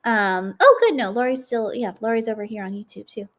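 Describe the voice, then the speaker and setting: A woman's voice: fairly high pitch, brisk. A woman co-hosting a live stream, upbeat and chatty with lots of fillers, her voice a little worn from a cold. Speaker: co-host of a live product demo. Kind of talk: casual live-stream chat.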